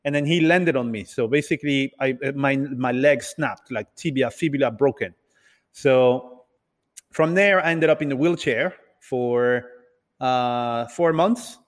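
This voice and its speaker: A man who grew up in Italy and other countries, telling his story casually as an interview guest in a halting, hesitant way. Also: mid-range voice. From Italy and several other countries. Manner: hesitant, halting delivery with repeated words. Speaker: podcast guest, entrepreneur. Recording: reverberant, hall-like room sound.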